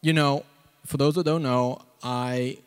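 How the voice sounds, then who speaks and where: A man gives an informal sermon and testimony into a handheld mic, halting and conversational, with lots of "like" and "you know.". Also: mid-range voice, fairly even intonation, clear, resonant tone. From South Africa. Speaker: preacher. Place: church auditorium, on stage before seated congregation. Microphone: handheld microphone.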